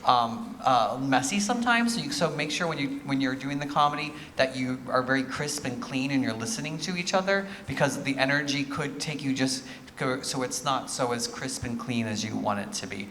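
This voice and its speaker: A man's voice: medium pitch, expressive, moderate pace, sharp tone. A man giving encouraging, conversational feedback to performers as a theatre judge. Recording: reverberant hall, faint noise.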